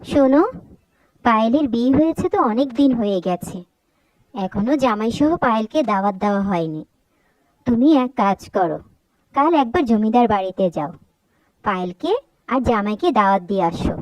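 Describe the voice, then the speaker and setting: A woman plays a loving, supportive young wife in a cartoon. She speaks in a fairly high voice, sweetly and chattily. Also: expressive, normal speed, pauses often, no room sound, muffled recording, close to an everyday voice. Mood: sweet, pleased. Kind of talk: Bengali cartoon story dialogue.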